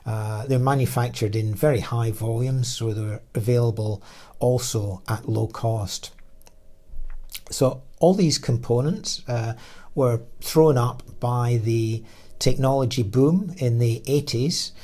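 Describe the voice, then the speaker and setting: A man presenting a technical slide lecture as an engineer, explaining in a halting way with frequent pauses mid-phrase. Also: mid-range voice, mellow. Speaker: radio designer and engineer presenting.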